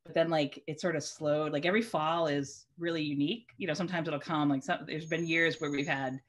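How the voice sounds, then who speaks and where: A woman's voice: low-pitched, steady tone, a little fast. A woman guest in a casual chat over a video call, rambling and searching for words, with lots of "like". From Massachusetts.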